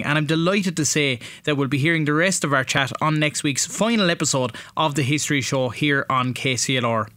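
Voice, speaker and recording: An Irish man presents a radio programme. His voice sits a little high for a man, with a scripted broadcast delivery. The recording is close and dry. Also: pushed and bright, no echo. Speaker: radio show host.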